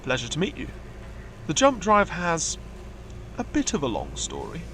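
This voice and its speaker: Lively, bookish, formal alien crewman. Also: fairly high pitch, moderate pace, a little hiss or hum. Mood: polite, concerned. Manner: formal, precise, earnest. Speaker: actor in an audio drama. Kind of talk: science-fiction audio drama acting.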